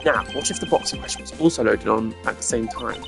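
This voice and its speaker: Guy doing a formal game prosecutor, measured n dryly superior, music behind him. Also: lowish voice, gravelly. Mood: methodical.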